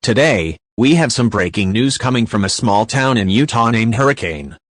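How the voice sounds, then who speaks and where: A man's voice: medium pitch, expressive, moderate pace, dry recording, clean studio sound, lightly acted. A man playing a serious, dismissive news anchor in an audio drama newscast, with a formal presenter's delivery.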